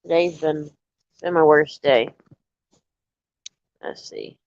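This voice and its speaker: A woman chatting casually to viewers on a live stream, a little nasal and stuffy from allergies, rambling in a relaxed way. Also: low voice, takes it slowly, no echo, lo-fi sound. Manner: rambling, relaxed, talks straight to chat.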